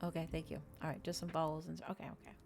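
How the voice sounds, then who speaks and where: A woman's voice: low voice, little pitch movement, very fast, creaky, a little mellow, faint music behind. A woman hosting a livestream, chatting casually with viewers in a rambling way, often repeating and restating her phrases. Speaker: VTuber livestream host.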